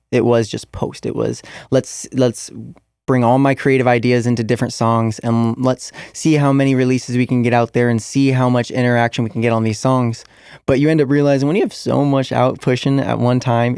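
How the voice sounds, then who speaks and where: A man's voice: mid-range voice, gravelly, a little mellow, clear, resonant tone, no echo, clean studio sound. A young man, a musician guest in an interview, answering casually with many fillers and restarts.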